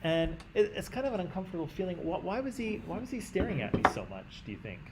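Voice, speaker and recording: A Canadian man teaching a class in a conversational way, often checking in with "right?" and clearing his throat. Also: high-pitched, huge pitch swings, fast talker, heavy background noise. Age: adult. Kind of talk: classroom lecture.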